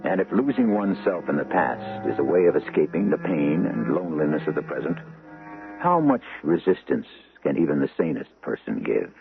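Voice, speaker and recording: A man with an American accent narrating a radio mystery drama in a deliberate, portentous way. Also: rumbling low, big pitch swings, creaky, phone-quality sound, exaggerated voice. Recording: band-limited old radio broadcast, music underneath.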